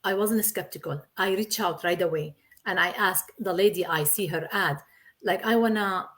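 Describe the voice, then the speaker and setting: A woman's voice: on the low side, moderate pace, a bit bright. A woman from Syria in her thirties, a guest in a casual interview, talking earnestly in simple, repetitive English. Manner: earnest, repeats herself, simple non-native English.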